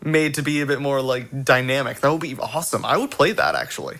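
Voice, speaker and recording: A man's voice: mid-range voice, quick pace, fairly bright tone. A man in a casual group chat, riffing enthusiastically with lots of dude-style exclaiming.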